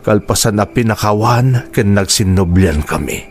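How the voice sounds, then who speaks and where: A man's voice: fairly low pitch, lively intonation, moderate pace, a little mellow, soft background music, close to an everyday voice. A man playing a middle-aged, remorseful father in an audio drama, patient, earnest and pleading. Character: remorseful, patient father confessing to his son. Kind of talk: Ilocano audio drama acting.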